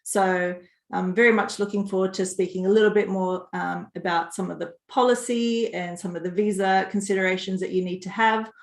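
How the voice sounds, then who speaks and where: A woman's voice: medium pitch, fairly even intonation, fairly quick. Professional woman in an echoey room. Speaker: licensed immigration advisor. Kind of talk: webinar introduction.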